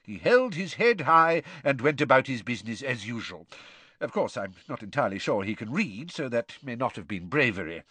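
A man voicing a wise, gently amused old male headmaster with dry wit, speaking in a measured way. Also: medium pitch, sing-song, husky, no echo, exaggerated voice. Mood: wry, kindly.

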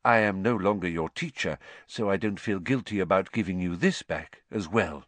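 A man's voice: sits low, normal speed, fairly bright tone, no room sound. A man voicing a kindly, mild-mannered male schoolteacher in an audiobook, courteous and understated. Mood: kind, brisk. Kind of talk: audiobook character voice.

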